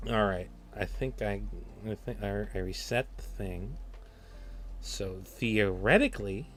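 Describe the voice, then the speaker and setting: A man chatting casually on a live work stream, animated and self-deprecating, talking to himself as he works. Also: on the low side. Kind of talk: casual work stream chat while video editing.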